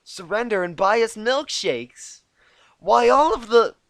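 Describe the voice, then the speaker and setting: A man's voice: high-pitched, lively intonation, slow pace, fairly bright tone, dry recording, voice pushed up, heavily acted. A man voicing a feisty female cartoon pony with a drawling, exclamatory Southern US accent. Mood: alarmed, loud.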